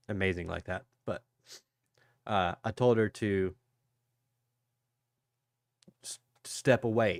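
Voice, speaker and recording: Male host, stuffy-nosed and tired, low smooth voice, dry close sound, easygoing chatter to viewers. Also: no echo. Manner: rambling, greets chat viewers by name; low energy. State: congested, sniffly. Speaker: crypto livestream host. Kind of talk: casual livestream chat.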